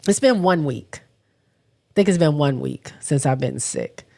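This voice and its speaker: A woman with a cold hosting a casual livestream, her voice raspy and stuffy, talking haltingly and stopping often to clear her throat. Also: deep voice, animated, dark tone. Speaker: livestream host. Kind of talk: casual livestream chat, reading viewer comments.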